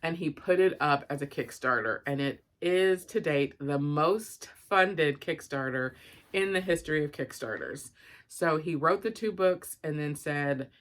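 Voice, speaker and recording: Woman, low pitch, casual vlog book review, informal, rambling, thinking aloud. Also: animated, unhurried.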